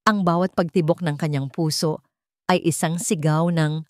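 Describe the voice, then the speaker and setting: A woman with a Filipino accent narrating a scripted dramatic story in a measured, solemn way. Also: low-pitched, fairly even intonation, no echo, studio quality.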